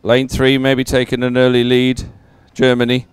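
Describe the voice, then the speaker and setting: A man's voice: mid-range voice, completely flat delivery, normal speed, smooth, no room sound, soft background music. A man giving live race commentary in an informal, conversational and encouraging way. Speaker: sports commentator.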